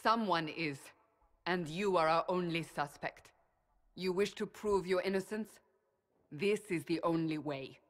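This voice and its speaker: A woman's voice: lowish voice, unhurried, close to an everyday voice. A woman playing a stern, suspicious warrior with a clipped, commanding, accusatory manner in a fantasy video game. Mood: grave, stern. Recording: long reverb, noisy ambience behind.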